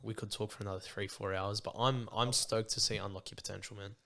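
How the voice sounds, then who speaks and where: A man's voice: fairly low pitch, fairly even intonation, quick pace, creaky, sharp tone. A young Australian man hosting a podcast, chatting in a laid-back, earnest way and calling his guest bro and mate. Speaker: podcast host. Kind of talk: casual chat.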